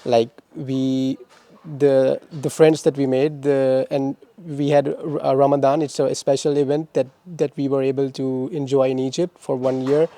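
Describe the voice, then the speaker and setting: A young man from Pakistan answering interview questions about his studies, speaking in a halting way with many restarts and repeated words. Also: medium pitch, flat delivery, dark tone. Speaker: interviewee, former master's student.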